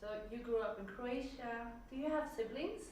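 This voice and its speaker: A woman's voice: on the high side, moderate pace, a little mellow. A woman asking short, plain interview questions. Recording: roomy with faint background noise.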